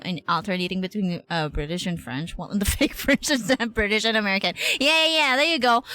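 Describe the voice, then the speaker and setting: A woman streaming a game with a fairly high, bright voice that jumps around a lot. She chats casually in slang and exclamations, swearing freely, over faint game music. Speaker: video game livestreamer. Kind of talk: casual reaction chat while playing a game.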